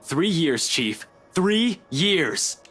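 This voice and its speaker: Husky, expressive young detective. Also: male voice, on the high side, very slow, faint music behind, full character voice. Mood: disbelieving. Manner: indignant, pleading. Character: young hot-headed male police detective, defiant and sarcastic. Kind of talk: visual novel voice acting.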